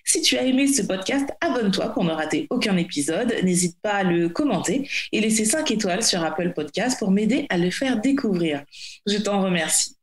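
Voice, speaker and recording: Lady from around doing podcast chat, mid pitch, pretty roomy echo. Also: lively intonation, a little fast. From France, Paris suburbs. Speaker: podcast host. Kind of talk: conversational podcast interview. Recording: roomy, echoey sound.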